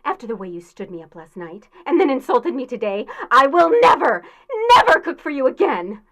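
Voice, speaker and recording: A woman's voice: high-pitched, big pitch swings, fairly quick, a bit bright, full character voice. An actress playing a haughty, easily offended girlfriend in an audio drama, scolding and melodramatic. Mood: outraged, demanding. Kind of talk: theatrical audio drama acting.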